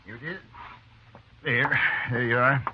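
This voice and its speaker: An actor playing a genial, fussy small-town clerk in a radio western, folksy and obliging, given to muttering. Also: male voice, medium pitch, measured pace, close to an everyday voice. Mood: helpful, absent-minded. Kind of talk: 1950s radio western acting. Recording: dull, very noisy old radio recording.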